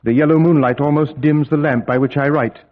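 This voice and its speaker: An actor playing an earnest, uneasy young male solicitor in an old-time gothic radio play, measured and theatrical. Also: on the high side, steady tone, lightly acted. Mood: musing, uneasy. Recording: tinny, narrow vintage radio recording. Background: music.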